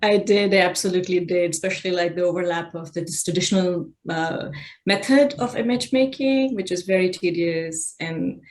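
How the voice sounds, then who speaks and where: A woman whose first language is Urdu explains her own artwork on a video call, speaking thoughtfully and haltingly with many fillers and restarts. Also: on the low side, darkish tone. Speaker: exhibiting artist.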